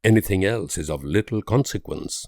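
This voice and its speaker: An actor playing a grave, idealistic and world-weary political leader in a strategy game, speaking in measured, solemn oratory with dramatic pauses. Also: male voice, sits low, animated, darkish tone, no echo, studio quality. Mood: cool, demanding.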